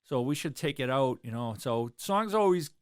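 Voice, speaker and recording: A man's voice: mid-range voice, animated, normal speed, smooth, no echo, studio quality. A man, a drummer talking loosely in an interview, rambling with lots of fillers. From South Boston, USA. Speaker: drummer and guest. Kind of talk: casual interview chat.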